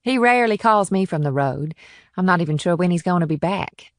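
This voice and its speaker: A woman's voice: on the low side, lively intonation, fairly quick, no echo, clean studio sound. A woman playing a breezy, arch, somewhat defensive wealthy mother in an audiobook drama. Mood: offhand.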